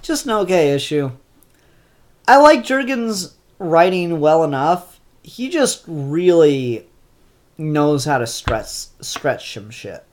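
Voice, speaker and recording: A congested, stuffed-up voice drawls along at a slow, easy pace. This comic reviewer talks offhand and unscripted, full of ums and half-started sentences. The pitch sits in an ordinary middle range. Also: sing-song, slight background noise. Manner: unhurried and offhand, many ums and restarts. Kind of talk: casual spoken comic review.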